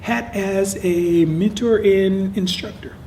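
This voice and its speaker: A man hosting an interview, asking halting questions and laughing between them. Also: sits high, steady tone, darkish tone. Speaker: interview host. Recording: distant mic with faint noise.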